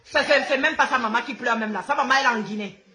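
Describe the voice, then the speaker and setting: A woman's voice: a little high, fast talker, sharp tone, a little hiss or hum. A woman with a Gabonese accent, a native Fang speaker, ranting live to her followers in an outspoken, combative, repetitive way. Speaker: social media host.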